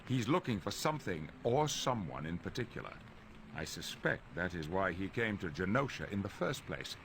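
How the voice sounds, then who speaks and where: An actor playing a dignified older professor who leads with formal, calm authority in a video game. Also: male voice, deep voice, normal speed, slight background noise, full character voice. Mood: grave, composed. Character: dignified older male professor and leader, calm but reproving.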